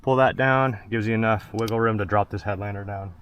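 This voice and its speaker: Low-voiced man giving a hands-on tutorial. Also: steady tone, brisk, a bit bright. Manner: plain-spoken, instructional, short practical phrases. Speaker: presenter of a truck installation how-to. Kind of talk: casual hands-on tutorial narration. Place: in and around a parked pickup truck outdoors. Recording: clear background noise, clicks of handling.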